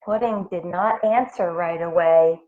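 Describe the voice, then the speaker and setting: A woman reading a picture book aloud to children like a schoolteacher, with animated, deliberate phrasing. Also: lowish voice. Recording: tinny phone-like audio.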